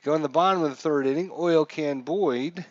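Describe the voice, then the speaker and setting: A man streaming casual play-by-play commentary of a baseball game, with announcer-like calls and pauses. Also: on the high side, dry recording, dull audio. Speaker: live streamer, host.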